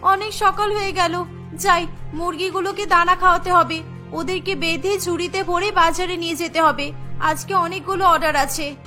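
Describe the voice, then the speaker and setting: A woman voicing a hardworking village wife in a cartoon, hurried and practical. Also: extremely high pitch, fairly even intonation, pushed and bright, faint music behind. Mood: busy. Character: hardworking, busy village chicken-seller wife.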